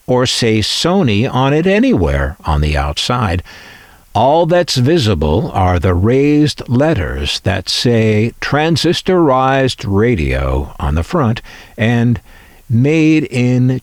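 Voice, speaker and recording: A man narrating a scripted video as its host, in measured, deliberate phrases with dry asides. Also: mid-range voice, no echo. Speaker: host of a vintage electronics channel.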